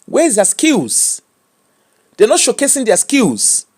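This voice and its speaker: Nigerian guy ranting on camera, high voice that jumps all over, drops into pidgin. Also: a little slow. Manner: animated, switches into pidgin and mimics people. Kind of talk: opinionated commentary.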